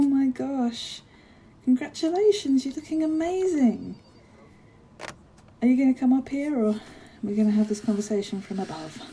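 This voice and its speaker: Woman playing a friendly giantess coworker, soft mellow voice. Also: high-pitched, a little hiss or hum, voice pushed up. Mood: cheerful, excited. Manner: bubbly, caring, apologetic, hesitant with soft laughs. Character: friendly chatty giantess office worker greeting her tiny coworker. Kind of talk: ASMR roleplay, one-sided conversation.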